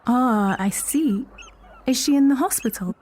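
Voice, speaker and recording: A woman's voice: high voice, measured pace, a little mellow, slight background noise. A woman acting in an audio drama as a wry, supportive teacher, frank and dry-humoured, with a Scottish accent. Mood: gentle, wry.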